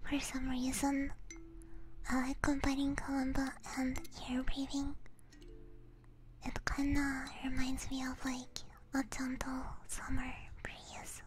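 A young woman whispering gently and soothingly into a close binaural microphone, chatting casually with viewers as an ASMR streamer. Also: high voice, monotone, slow, background noise. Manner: soothing, gentle personal attention. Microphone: close binaural ear microphone.

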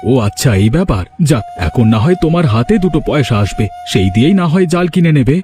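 A man's voice: on the high side, expressive, fast talker, over music, close to an everyday voice. A man voicing a concerned, coaxing village husband in a cartoon folk tale. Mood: curious.

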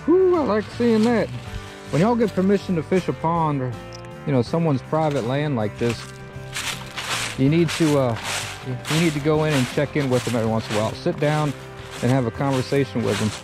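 A man in his thirties talks through his fishing day in a loose, chatty drawl, his voice sitting a touch high. He is recorded outdoors on a camera strapped to his chest, with music playing clearly under him. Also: brisk, low-quality recording. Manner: relaxed drawl, chatty, says y'all. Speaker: fishing vlogger. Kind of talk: casual fishing vlog. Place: outdoors by a pond and river bank. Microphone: chest-mounted action camera.

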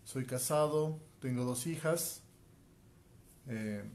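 A man's voice: mid-range voice, takes it slowly, darkish tone. A man in his forties from Quito with an Ecuadorian Spanish accent, a martial arts teacher introducing himself earnestly straight to camera, often tagging "ok?". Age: 40s. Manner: earnest, addresses viewer directly, frequent "ok?" tags. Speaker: martial arts instructor and life coach. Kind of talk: direct-to-camera self introduction. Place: small room at home, indoors. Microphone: phone camera at arm's length.

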